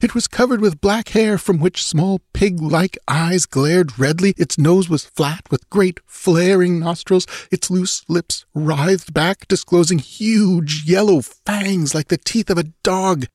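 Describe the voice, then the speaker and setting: A man voicing a frightened young nobleman in a fantasy audiobook, speaking in hushed, urgent, formal phrases. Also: high voice, slow pace, few pauses, dry recording, studio quality, voice pushed up. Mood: horrified, hushed. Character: frightened young nobleman, breathless, horror-struck. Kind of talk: dramatized audiobook fantasy adventure.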